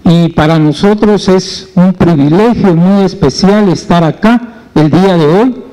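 A middle-aged man addresses a public forum from a panel table in a conference hall. He holds a handheld mic and speaks in an earnest, steady way, and his voice sits high but sounds dark and mellow. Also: measured pace. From Guatemala. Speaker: trade union leader.

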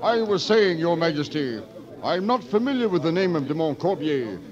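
An actor playing a stuffy, affronted court general in 1940s radio style. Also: male voice, on the high side, big pitch swings, moderate pace. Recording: dull radio recording, crowd behind.

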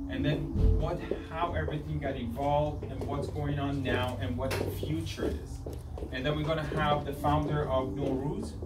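Earnest halting man speaking from afar. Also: mid-range voice. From Sweden. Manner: halting, earnest, restarts phrases often. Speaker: artist giving a presentation. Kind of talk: live talk to an audience. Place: event space with an audience. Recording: roomy sound from a distance, loud music behind.

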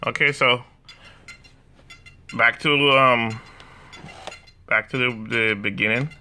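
A man with a Jamaican accent, talking through drone setup software in a hesitant way, full of fillers and restarts. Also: mid-range voice, fairly even intonation, slow, slight background noise. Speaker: hobbyist demonstrating drone software. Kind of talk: casual screen walkthrough. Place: indoors, room with a laptop.

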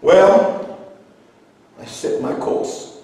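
A middle-aged man from New Zealand who grew up in Iceland, preaching a sermon with passion, at times rising to shouts. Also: drawn-out words. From New Zealand, raised in Iceland. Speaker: pastor. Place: large church hall with high ceiling. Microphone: handheld mic, heard from a distance in the room. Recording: echoey hall from far off.

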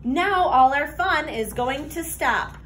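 A young woman reads a picture book to children in a high voice with big swings in pitch. Her delivery is slow, sing-song and expressive, like a teacher's. There is faint noise from the room behind her. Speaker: teacher reading aloud to children. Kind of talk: animated children's picture-book read-aloud. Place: classroom, indoors.

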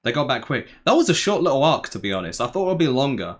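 A young man giving casual, slangy reaction commentary, musing aloud in a laid-back, tired, low-energy way. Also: sing-song, quick pace. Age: young adult. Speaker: anime reaction host. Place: small bedroom, indoors.

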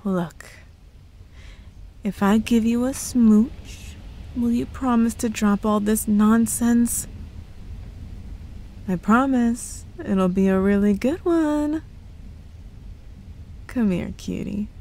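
A woman's voice: a little high, takes it slowly, long pauses, warm tone, slight background noise, low-quality recording. A woman playing a playful, possessive witch girlfriend in an ASMR roleplay, teasing and coy with a sweetly threatening edge.

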